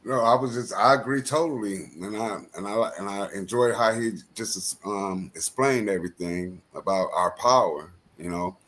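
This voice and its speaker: A man speaking as a panelist in a group discussion, agreeable and halting, with frequent restarts. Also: fairly low pitch, fairly bright tone.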